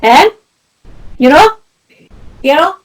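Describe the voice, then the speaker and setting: Scottish doric lady, chatty medium, high voice that swoops around a lot. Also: animated, slow pace, recorded from a distance. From Scotland. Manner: chatty, laughing, tells anecdotes with lots of "you know". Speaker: spiritual medium and host. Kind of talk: casual chat about spirituality.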